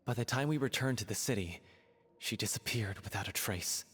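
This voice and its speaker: A man's voice: lowish voice, brisk, a bit bright, slightly rough, in a large hall. An actor playing an earnest young man from a theater troupe in a game, speaking heartfelt about the director he loved. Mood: grieving. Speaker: troupe member in love with the late director. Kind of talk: game voice acting.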